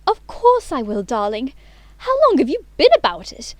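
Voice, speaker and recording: A woman's voice: sits high, wildly swooping pitch, normal speed, dry recording. A woman playing a self-assured, romantic young upper-class lady in a theatrical audio drama, with an affected, coquettish manner. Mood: adoring, coquettish.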